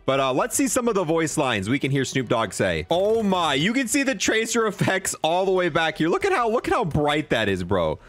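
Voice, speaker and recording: A man's voice: a little high, huge pitch swings, brisk, hardly any pauses, a bit bright, dry recording. A young man giving casual, enthusiastic, slangy commentary as he reviews a video game. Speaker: gaming video creator.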